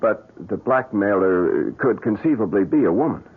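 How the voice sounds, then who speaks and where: A slick, greedy agency man in a radio drama. He has a husky, low voice that moves around a lot, on a muffled old broadcast. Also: animated, normal speed. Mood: probing. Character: slick, money-hungry man running a crime-prevention agency.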